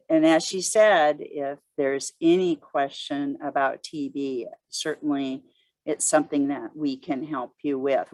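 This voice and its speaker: A woman moderating an informal work conference call, speaking in halting, deliberate phrases. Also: sits low, no room sound, rough recording.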